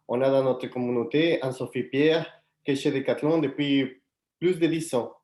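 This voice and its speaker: A man from Mexico with a Mexican Spanish accent introduces his community on a webinar, speaking slowly and carefully. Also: mid-range voice, darkish tone, roomy sound. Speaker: community founder.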